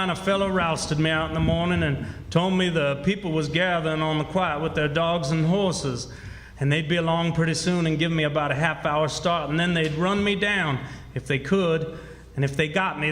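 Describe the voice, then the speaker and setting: A man playing a shifty, folksy backwoods quack preacher in a radio drama, with rustic, drawn-out talk. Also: a little high, fairly bright tone, a little hiss or hum, close to an everyday voice. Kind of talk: old-time radio drama acting. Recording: echoey live hall, distant reverb.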